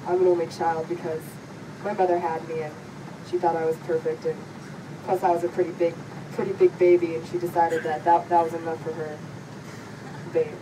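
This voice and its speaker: Woman playing low-voiced cocky winged hunk. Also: level tone, a little fast, lightly acted. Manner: boastful, cool, self-satisfied. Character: cocky, ripped winged male creature, boastful flirt. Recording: clear vehicle-like background noise.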